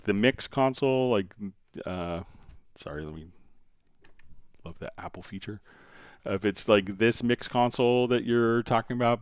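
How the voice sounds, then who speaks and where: A man hosting a casual live software tutorial, answering viewer questions in a conversational, step-by-step way. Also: lowish voice, clear, resonant tone, no echo, studio quality. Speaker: livestream host and software instructor.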